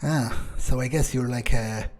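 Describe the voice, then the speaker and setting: A man's voice: mid-range voice, a little slow, darkish tone, soft background music. A man thinking aloud in character as a cynical vampire during gameplay, hesitant, disdainful and sweary. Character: cynical, scornful male vampire. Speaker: gamer playing in character.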